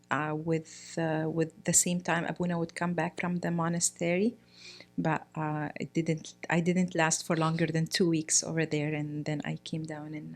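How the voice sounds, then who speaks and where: A middle-aged woman from Egypt talks in a relaxed interview. Her voice sits fairly low, and she keeps stopping to search for words with lots of "uh" and "you know." She speaks plainly, in an unhurried, conversational way. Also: gravelly. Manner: hesitant, many fillers and restarts. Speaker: guest, priest's wife.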